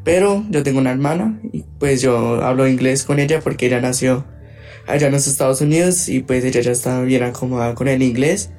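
A teenage boy answering interview questions in a relaxed, easygoing way. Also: mid-range voice, a little fast, faint music behind. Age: teens. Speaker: student being interviewed.

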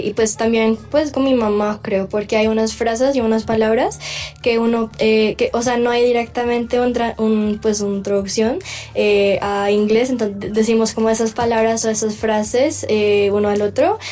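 A teenage girl answering interview questions hesitantly, with many pauses and restarts. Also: a little high, mellow. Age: teens. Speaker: student being interviewed. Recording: distant mic with faint music.

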